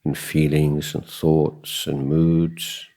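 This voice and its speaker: A man giving a guided meditation talk as a Buddhist teacher, contemplative and measured, pausing often between short phrases. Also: extremely low pitch, monotone, vocal fry, noisy background.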